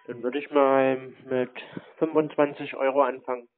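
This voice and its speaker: A man with a medium-pitched voice speaks over a thin phone line. He chats casually and deadpan, feigning naivety. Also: moderate pace. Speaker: prank caller baiting scammers. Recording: narrow phone-like sound.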